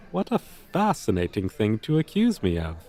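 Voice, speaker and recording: A man's voice: lowish voice, mellow, full character voice. A man playing a pompous, flirtatious dragon teacher in an ASMR roleplay, speaking in florid, formal, condescending phrases. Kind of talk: ASMR roleplay, slow theatrical delivery.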